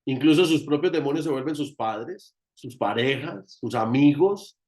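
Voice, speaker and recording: A man's voice: medium pitch, unhurried, darkish tone. Colombian man, emphatic spiritual teacher. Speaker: guest therapist and spiritual teacher. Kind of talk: informal teaching talk in an online meeting.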